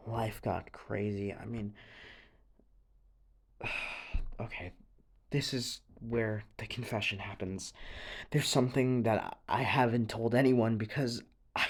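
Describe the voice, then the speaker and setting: A young man playing an anxious, haunted teenage boy in an audio drama monologue, speaking haltingly with stammers, sighs and a creaky voice. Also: lowish voice, steady tone. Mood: nervous, confiding. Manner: halting, stammering, sighing, nervous breathy laughs.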